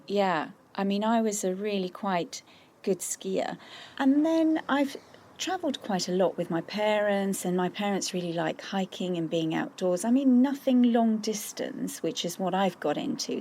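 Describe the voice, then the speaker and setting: A middle-aged woman from Austria talks as a podcast guest in an earnest, encouraging way, often restarting her phrases. Her voice sits low and has a gravelly, creaky texture. A faint hiss of noise runs behind her. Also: darkish tone. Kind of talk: casual podcast interview.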